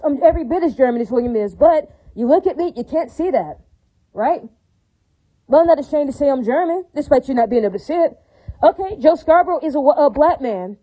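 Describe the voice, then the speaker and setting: A woman with a high, mellow voice delivers a rambling monologue straight to her viewers. She talks quickly, circles back to the same points and leans on fillers, and she keeps asking whether listeners follow her. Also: poor audio. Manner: repetitive, filler-heavy, keeps asking listeners if they agree. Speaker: vlogger addressing viewers.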